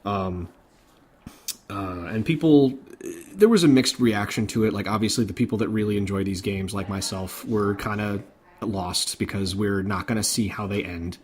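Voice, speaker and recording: A man's voice: fairly low pitch, normal speed, a little mellow. A man hosting a podcast, recounting news in an informal, conversational way. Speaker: podcast host. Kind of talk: casual podcast chat about game industry news.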